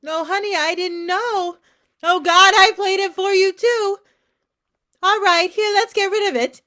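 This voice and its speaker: A woman's voice: very high voice, fairly even intonation, a little slow, pushed and bright, exaggerated voice. An actress playing an anxious, guilt-ridden mother of a college-age daughter in a staged play reading, pleading and insistent. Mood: distressed.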